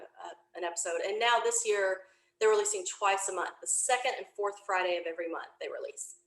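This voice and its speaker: A woman in her forties chatting casually as an interview guest, talkative and quick to laugh. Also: mid-range voice. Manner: chatty, animated storytelling, laughs easily. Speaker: podcast creator, interview guest. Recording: roomy, echoing room sound.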